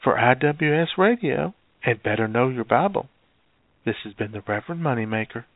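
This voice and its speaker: A man's voice: medium pitch, lively intonation, moderate pace, dry recording, natural voice. Man playing a smug radio preacher. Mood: preachy. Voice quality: preachy, condescending. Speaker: comic radio preacher bit. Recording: tinny phone-like sound.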